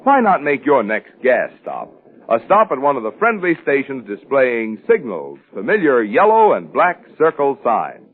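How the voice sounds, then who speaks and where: Animated male announcer on old radio. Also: mid-range voice, normal speed. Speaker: commercial announcer. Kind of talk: 1940s radio advertising read. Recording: muffled, band-limited 1940s radio recording.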